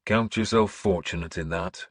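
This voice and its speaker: Man as a blunt, gruff warrior lord. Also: deep voice, normal speed, dry recording. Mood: dry, wry. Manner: blunt, dry.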